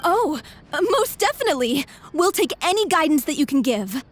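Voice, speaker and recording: High-voiced earnest troupe girl. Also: sing-song, normal speed, soft background music. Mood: eager. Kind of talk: video game voice acting.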